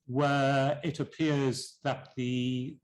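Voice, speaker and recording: A man chairing a panel discussion, polite and halting, often repeating words as he sums up. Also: medium pitch, little pitch movement.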